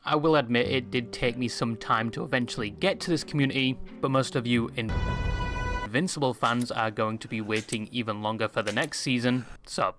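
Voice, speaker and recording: A man's voice: medium pitch, steady tone, brisk, music in the background. A man reading scripted review narration for a video essay, with a sardonic, teasing manner. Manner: sardonic, joking insults at the viewer.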